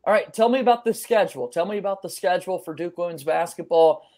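A man's voice: sits high, fast talker, a little mellow. A young man hosting and interviewing on a sports podcast, speaking over a webcam call from a room at home. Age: young adult. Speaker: podcast host.

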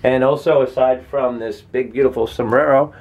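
A man's voice: medium pitch, fairly even intonation, normal speed, breathy edge, dull audio. A man behind the camera hosting a travel vlog, narrating and asking leading interview questions in a small cabin. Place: small ship cabin, indoors. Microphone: camera mic, behind the camera.

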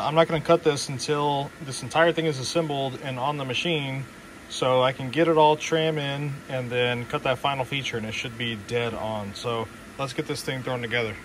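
A man's voice: medium pitch, a bit bright, a little hiss or hum. A machine shop owner talking casually and explaining each step of his work as he goes, with fillers, recorded on a handheld phone in his shop. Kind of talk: casual workshop walkthrough. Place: machine shop beside a CNC mill.

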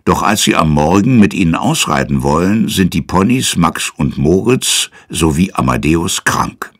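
A man's voice: low-pitched, sing-song, unhurried. A man narrating a children's audio story as its presenter, in scripted storytelling style.

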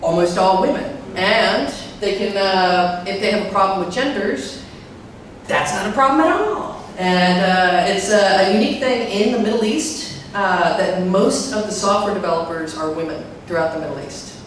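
A middle-aged woman with a deep voice gives a chatty talk on a handheld mic, filling her speech with fillers and putting questions to the audience. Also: normal speed, slight background noise. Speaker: conference speaker. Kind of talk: live tech talk to an audience. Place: large hall with a projector screen. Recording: echoey hall from far off.

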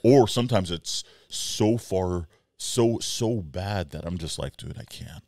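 A man in his forties with a very low, gravelly voice, chatting as a podcast co-host. He rambles through animated stories and acts out his own reactions. The sound is dry and close on a boom mic in a small studio room. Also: takes it slowly, no room sound. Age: 40s. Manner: rambling, animated storytelling with quoted asides. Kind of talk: casual chat. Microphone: headset and boom mic.

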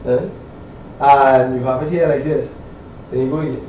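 A man's voice: mid-range voice, steady tone, normal speed, darkish tone. A man with a Caribbean accent giving a casual hands-on woodworking demonstration, rambling and repeating phrases with frequent checking tags. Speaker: woodworker demonstrating hand tools. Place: small cluttered indoor woodwork shop. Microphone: camera a few metres away. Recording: echoey room, distant mic, dull, faint noise.

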